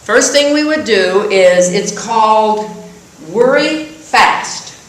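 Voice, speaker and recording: A woman congregant explaining a calming technique in a halting way, correcting herself as she goes. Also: medium pitch. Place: church sanctuary. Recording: very echoey hall, voice from far off.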